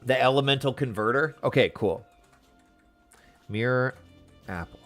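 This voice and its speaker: A man's voice: mid-range voice, a little slow, a bit bright, faint music behind. Informal enthusiastic man streaming a game. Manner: enthusiastic, informal slang, addresses viewers. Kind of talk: casual game stream chat.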